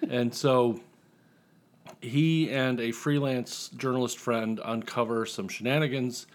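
A man's voice: mid-range voice, fairly even intonation, slow pace. A man speaking as a guest author on a podcast, telling casual stories in a wry, joking way. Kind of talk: casual interview storytelling.

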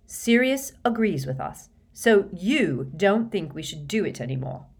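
A woman voicing a defensive, quick-tempered teenage schoolboy in a storybook reading, insistent and terse. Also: high-pitched, big pitch swings, a little mellow, slightly rough, pitched above the performer's own voice, heavily acted. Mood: angry.

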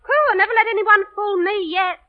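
A woman's voice: extremely high pitch, brisk. An actress playing a feisty, defiant young London street girl, blunt and emotional, in old radio drama style. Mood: wary, defiant. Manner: blunt, emotional, sharp-tongued. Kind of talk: 1930s-40s radio drama acting. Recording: muffled old radio broadcast recording.

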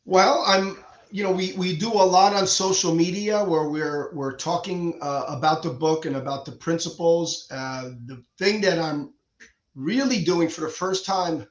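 A man's voice: on the high side, measured pace. A middle-aged man from Cuba, a surgeon guest explaining his views earnestly, often restarting his sentences. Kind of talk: conversational interview. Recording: echoey dull lo-fi room sound.

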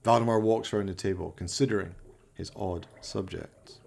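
A man's voice: deep voice, fairly even intonation, moderate pace, vocal fry, a little mellow. A man delivering theatrical, scripted narration for a comic horror radio play, in an arch, melodramatic manner.

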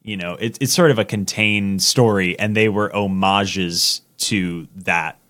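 A man's voice: low-pitched, measured pace, a bit bright. Man chatting casually about film. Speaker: podcast co-host. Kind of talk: casual movie discussion.

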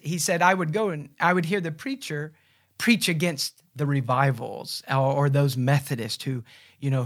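A man's voice: on the high side, fairly bright tone. A man giving an informal spoken introduction as a host, telling church history in an earnest, explanatory way.

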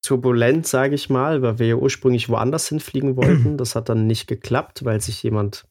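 A man's voice: mid-range voice, moderate pace. Guy with a cold, bit stuffy, laid-back rambling podcast chat, crude jokes. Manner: relaxed storytelling with fillers and crude jokes. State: has a cold, slightly stuffy. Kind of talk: casual chat.

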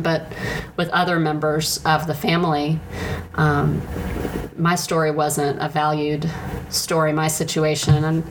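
A woman telling a personal faith testimony in a reflective way, pausing often and restarting her sentences. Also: sits low. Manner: slow, halting, with many pauses and restarts. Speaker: speaker sharing a personal testimony. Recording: noticeable background noise.

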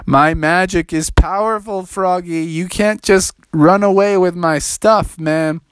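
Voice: medium pitch, slow, smooth, no room sound, voice pushed up, close to an everyday voice. An adult voicing a stern but playful old male wizard in an improvised storybook tale, with a folksy way of talking. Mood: gloating. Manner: folksy, calls the hero "me boy".

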